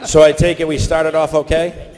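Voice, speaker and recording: Man as stage host, slightly high voice, casual directing of actors, audible crowd. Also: completely flat delivery, normal speed. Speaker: host of a live voice-acting panel. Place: large convention hall on stage. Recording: echoey, noisy convention hall with crowd.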